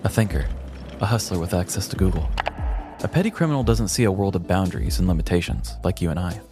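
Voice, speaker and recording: A man's voice: sits low, fast, music in the background. A man co-hosting a comedy podcast, moving between casual banter and scripted humorous storytelling.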